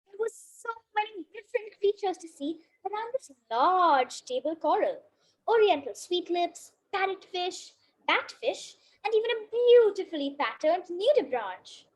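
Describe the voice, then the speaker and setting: A female reader with a very high, small-sounding pitch reads a children's book aloud. Her melody is animated and varied. She goes carefully through the text, with an occasional slip. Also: a little slow, low-quality recording. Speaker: story reader.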